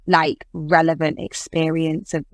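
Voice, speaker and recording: A woman with an English accent, in her thirties, talking casually as a podcast guest. Her voice sits a little low, and she strings her thoughts along with easy fillers. The sound is close and dry. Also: little pitch movement, unhurried, dry recording. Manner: chatty, fills with "like" and "I guess". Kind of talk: casual interview chat.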